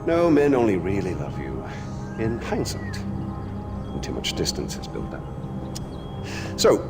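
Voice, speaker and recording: An actor playing a flamboyant, menacing dictator in a video game, theatrical and mockingly polite, turning from soft-spoken to shouting. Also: male voice, low voice, wildly swooping pitch, takes it slowly, over loud music, exaggerated voice. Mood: wistful, musing. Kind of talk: video game cutscene acting.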